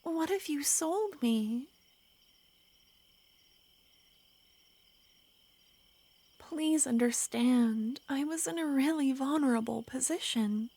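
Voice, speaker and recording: A woman voicing an ancient, lovesick female vampire who pleads softly and slips into whispers, in an ASMR roleplay. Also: high-pitched, a little slow. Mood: tender. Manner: soft, pleading, drifting into whispers.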